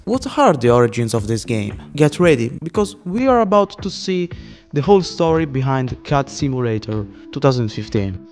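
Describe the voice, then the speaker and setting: A man from Italy with an Italian accent, reading a scripted video narration at a quick pace. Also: medium pitch, darkish tone. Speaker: YouTube narrator.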